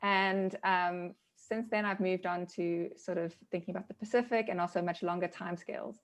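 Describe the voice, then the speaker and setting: A woman's voice: lowish voice, fairly even intonation, normal speed. A woman in her thirties, a scientist introducing her research in a webinar over a video call, speaking with enthusiasm. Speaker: scientist panelist.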